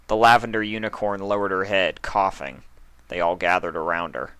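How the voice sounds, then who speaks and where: A man reading fan fiction as narrator, in a deliberate storytelling manner. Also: deep voice, steady tone, bright, forceful tone, clean tone, no echo.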